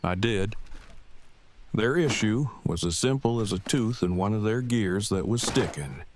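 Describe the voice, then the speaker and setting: Male actor as lowish-pitched thirties man, formal diction, verbose, pedantic, devoted partner, audio drama. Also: expressive, unhurried. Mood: earnest, precise. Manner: wordy, formal, pedantic phrasing.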